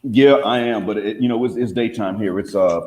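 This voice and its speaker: Measured man making points in an echoey room. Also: on the low side, darkish tone. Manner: measured, argumentative, lays out points one by one. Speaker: guest brought up on the stream. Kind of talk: casual debate. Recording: roomy echo.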